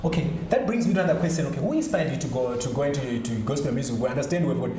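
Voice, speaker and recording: A man's voice: medium pitch, rapid-fire, warm tone. A man with a Zimbabwean accent hosting an interview show and putting questions to his guest. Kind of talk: broadcast interview with code-switching. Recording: echoey room with faint noise.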